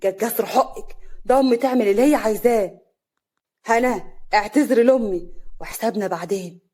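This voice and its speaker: Woman acting a gruff, bullying Upper Egyptian husband. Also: fairly high pitch, fairly quick, mellow, close to an everyday voice. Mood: threatening. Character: angry, bullying young husband, cruel and contemptuous.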